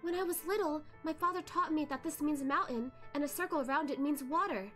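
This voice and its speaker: A woman voicing a patient, even-tempered young woman who explains things carefully. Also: sits high, a little fast, soft background music, pitched above the performer's own voice. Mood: explaining.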